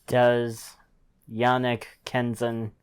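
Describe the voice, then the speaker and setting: A man's voice: mid-range voice, monotone, drawn-out words, clear, resonant tone. A man chatting casually as a player in a tabletop role-playing game.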